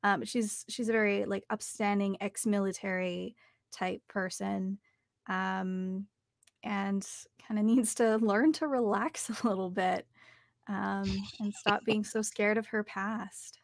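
A woman's voice: medium pitch, steady tone, takes it slowly, gravelly, dry recording. A young woman talking casually as an interview guest about her voice acting work. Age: young adult. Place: small home recording studio, indoors.

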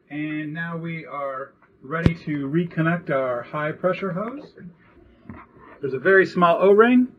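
Man, 30s, higher voice, calm step-by-step how-to, tight boat cabin, camera a bit off, faint hum behind. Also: recorded from a distance, dull audio. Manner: calm step-by-step explaining while working. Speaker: boat owner showing a repair. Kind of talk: hands-on how-to walkthrough. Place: cramped cabin of a sailboat. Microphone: action camera nearby.

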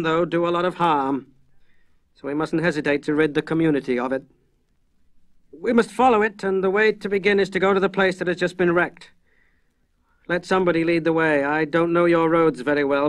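A man's voice: fairly high pitch, steady tone, brisk, pitched above the performer's own voice, natural voice. A man voicing a decisive old librarian in a horror audiobook, urgent and reassuring as a leader. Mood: resolute, urgent. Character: resolute old scholarly librarian rallying frightened men.

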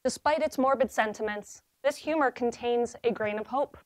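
A woman's voice: a little high, moderate pace, dry recording. Earnest young woman giving a thesis talk. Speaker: graduate student presenter. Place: library seminar room with audience.

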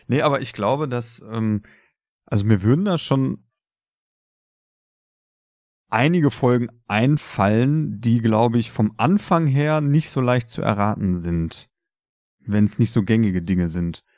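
A man co-hosting a podcast in casual chat, talking colloquially and a bit haltingly. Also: medium pitch, darkish tone, dry recording, studio quality. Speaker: podcast co-host.

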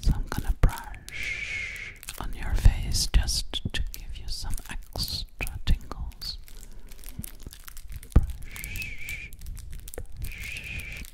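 A young woman performs ASMR personal attention in a soft, breathy whisper. She speaks extremely slowly and gently, very close to the microphone, soothingly repeating her words. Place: small indoor room. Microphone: microphone right at the mouth.

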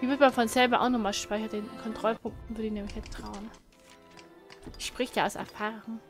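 A woman's voice: a little high, steady tone, moderate pace. A woman chatting casually as co-host of a video game let's play. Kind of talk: casual gaming chat. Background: game music.

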